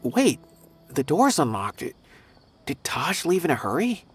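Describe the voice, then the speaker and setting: An actor playing a loyal, conscientious young officer in a video game, earnest and hesitant. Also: male voice, on the high side, very animated, unhurried. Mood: anxious, hesitant. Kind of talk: video game character dialogue. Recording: music behind voice.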